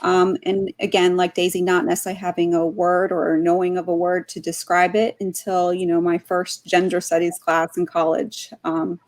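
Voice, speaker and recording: Conversational woman with a lowish voice. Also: flat delivery, normal speed, few pauses, darkish tone. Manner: casual and conversational, says "you know" often. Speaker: panelist, data analytics manager. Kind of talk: panel discussion. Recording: dry close sound.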